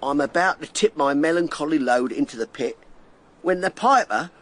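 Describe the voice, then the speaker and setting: An actor as animated high-voiced London working man. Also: normal speed, a little hiss or hum, close to an everyday voice. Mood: wry storytelling. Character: earthy working-class Londoner of plague times, wry and plaintive. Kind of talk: radio drama acting.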